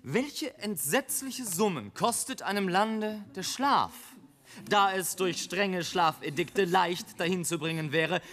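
A sardonic airship traveller in a radio play, highish male voice, mock speechifying, grim and scornful. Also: sharp tone, exaggerated voice. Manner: mocking, oratorical, rolling long sentences. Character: sardonic male airship traveller, grimly mocking his fellow men. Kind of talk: literary radio play monologue.

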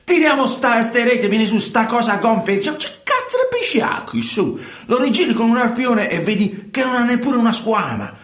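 An actor playing a troubled working fisherman in a colloquial, halting radio monologue, repeating himself. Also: very high voice, very animated, fairly bright tone, roomy sound, heavily acted. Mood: agitated, horrified. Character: troubled working fisherman recounting life at sea. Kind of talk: theatrical radio monologue in conversational storytelling.

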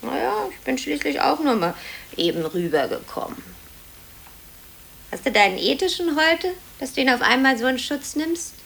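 An actress playing a sharp-tongued, gossipy office typist, snappish and chatty, in a 1950s German radio drama. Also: female voice, high-pitched, expressive, moderate pace, vocal fry, full character voice. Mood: needling. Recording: old cassette radio recording, some hiss.

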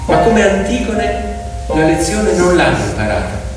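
A man telling a story theatrically, emphatic and full of pauses. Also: high voice, very animated. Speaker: stage actor and storyteller. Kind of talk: theatrical storytelling to piano accompaniment. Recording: echoey hall, distant mic. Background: piano music.

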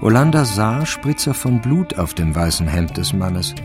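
A man narrating a literary crime story for a radio drama in a creaky voice. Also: fairly low pitch, very animated, measured pace, over music.

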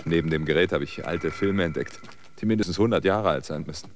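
An actor in a German radio drama playing a sober, world-weary outsider who speaks in a dry, matter-of-fact way. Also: male voice, low voice, a little fast, slight background noise, close to an everyday voice. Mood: reflective.

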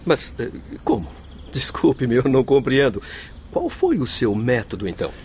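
A man's voice: mid-range voice, wildly swooping pitch, moderate pace, a little mellow, slight background noise. An actor in a radio drama playing a kindly, humble male doctor, courteous, formal and reassuring. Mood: humble, encouraging.

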